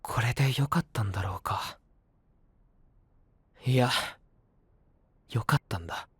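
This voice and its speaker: A man playing a solemn, conflicted young knight in an anime-style audio drama, speaking gravely and introspectively in a soft, husky, breathy voice. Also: medium pitch, no room sound.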